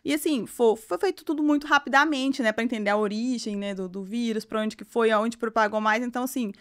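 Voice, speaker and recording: A woman speaks as a guest on a podcast, explaining at length with constant filler tags. Her voice sits a little high and sounds smooth and resonant. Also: a little fast. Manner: rambling, frequent "né" tags. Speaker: guest engineer. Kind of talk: podcast interview.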